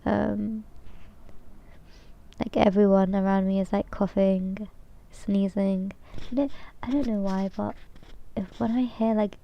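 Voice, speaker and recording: A woman's voice: medium pitch, fairly even intonation, takes it slowly, creaky, pauses often, highs cut off. A young woman streaming as a VTuber, chatting casually and hesitantly with lots of fillers, her voice nasal from a cold. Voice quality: hesitant, many fillers and restarts. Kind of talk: rambling casual chat.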